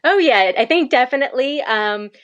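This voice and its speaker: A woman from Canada, a missionary guest, telling her story in an earnest, lively way and laughing often. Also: high-pitched, normal speed, muffled recording. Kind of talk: conversational faith interview.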